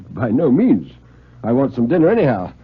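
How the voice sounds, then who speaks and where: A humorous, blustering little writer, played by a male radio reader, grumbles in indignant exclamations. The delivery swoops with lively emphasis and a husky edge, over muffled 1950s radio sound. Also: medium pitch, normal speed, full character voice. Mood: indignant, amused.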